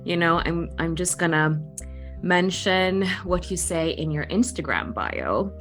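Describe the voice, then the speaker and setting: A woman hosting a podcast who asks questions in a warm, enthusiastic, chatty way. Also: lowish voice, lively intonation, unhurried, soft background music. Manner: enthusiastic, gushing. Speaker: podcast host. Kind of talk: casual interview chat.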